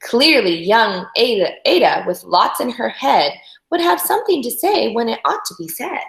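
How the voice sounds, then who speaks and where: A woman reading a storybook aloud to young children in an expressive, teacherly way, with instructive asides to her listeners. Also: fairly high pitch, normal speed, vocal fry. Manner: animated, instructional, talks directly to young listeners. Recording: echoey room.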